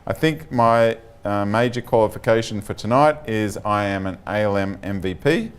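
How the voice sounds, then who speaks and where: Smooth-voiced man opening a tech talk. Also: lowish voice, normal speed. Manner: energetic opener, many ums. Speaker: chief architect presenter. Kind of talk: conference talk. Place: meeting room with audience.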